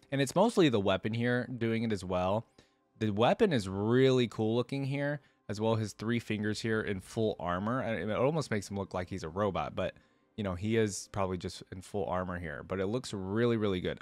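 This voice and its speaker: Male reaction host: low-pitched, informal and enthusiastic, loose unscripted commentary, very dry sound. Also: no room sound. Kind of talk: casual off-the-cuff reaction commentary.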